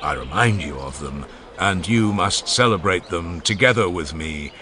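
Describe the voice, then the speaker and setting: A man reading an ancient oration aloud as an audiobook narrator, in a measured, declamatory way that swells and falls for emphasis. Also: sits low, lively intonation, pushed and bright. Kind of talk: dramatized reading of a classical oration.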